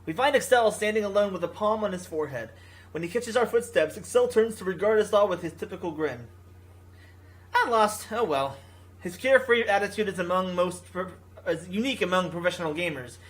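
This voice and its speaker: A gaming video host who reads a visual novel aloud with casual, goofy, excitable asides. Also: medium pitch, quick pace. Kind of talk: casual playthrough commentary and read-aloud.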